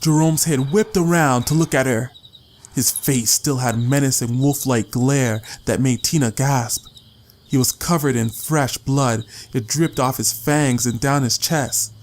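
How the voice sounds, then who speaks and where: A man's voice: mid-range voice, fairly even intonation, measured pace, darkish tone. A man reading a story as an audiobook narrator, in a dramatic scripted style.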